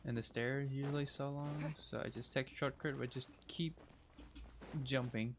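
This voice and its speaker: A man's voice: mid-range voice, fairly even intonation, normal speed. A man giving casual, playful, informal gameplay commentary as a streamer. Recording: noisy stream with game sound.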